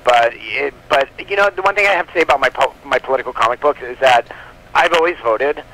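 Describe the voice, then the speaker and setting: A man's voice: medium pitch, lively intonation, fast talker, pushed and bright, low-quality recording. A man talking at length in a casual radio interview as a guest, in a rambling, chatty way. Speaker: guest, comic book publisher.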